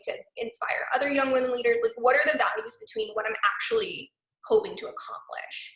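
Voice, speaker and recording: A young woman speaking in a loose, conversational way, filling her sentences with "like." Her voice is slightly high and very bright. It sits in a roomy, echoing space. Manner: chatty, many "like" fillers. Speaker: arts organization program director. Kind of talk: casual online talk.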